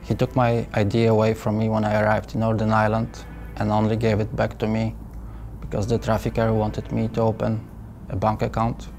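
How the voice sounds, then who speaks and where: Flat, measured man from Poland. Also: on the low side. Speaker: trafficking survivor telling his story. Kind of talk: calm first-person voice-over testimony. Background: soft background music.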